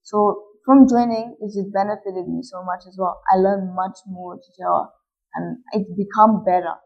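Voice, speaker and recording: A woman's voice: mid-range voice, fairly even intonation, moderate pace, creaky. A young woman in her late teens from Malawi, answering interview questions shyly and hesitantly, with many fillers and restarts. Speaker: footballer guest. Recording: dull lo-fi recording.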